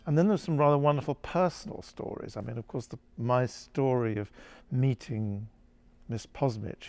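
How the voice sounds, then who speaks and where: A man with a gravelly voice speaks reflectively in an interview, thoughtful and halting as he searches for his words. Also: lowish voice, a little mellow.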